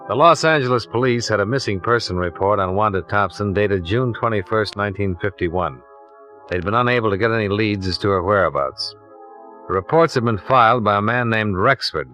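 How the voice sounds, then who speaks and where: An old radio crime drama with music brings a cool American male insurance investigator. His voice is low and forceful. He talks in clipped, matter-of-fact sentences, all business. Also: fairly quick. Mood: matter-of-fact narration. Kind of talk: 1950s radio drama acting, first-person narration.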